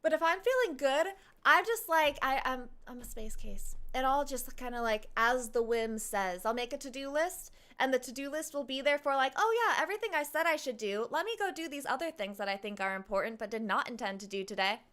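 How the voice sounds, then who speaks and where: A woman's voice: high voice, lively intonation, fairly quick, few pauses, a bit bright. A woman streaming a game and talking casually, in a reflective, rambling, slangy way as she reacts to it. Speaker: live streamer playing a game.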